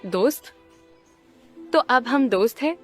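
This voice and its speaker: A woman playing a sharp, teasing young reporter, guarded yet fond, speaking casually and wryly in game dialogue. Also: fairly high pitch, animated, takes it slowly, faint music behind. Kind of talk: Hindi-dubbed video game dialogue.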